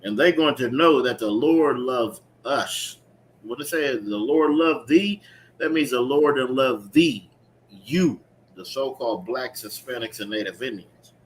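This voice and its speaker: A man's voice: mid-range voice, a little slow. A man preaching and explaining scripture emphatically, repeating phrases and checking in with his listeners. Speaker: preacher leading a scripture teaching. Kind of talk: street-preaching style sermon with call-and-response reading. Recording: clearly noisy recording with ambient background sound.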